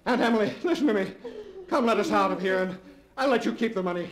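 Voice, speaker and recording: An actor plays a trapped man in an old radio chiller, pleading for his life. His voice is extremely high, loud and frantic, ringing with echo on a vintage recording. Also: fast talker, close to an everyday voice. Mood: desperate pleading. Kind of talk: 1940s radio horror acting.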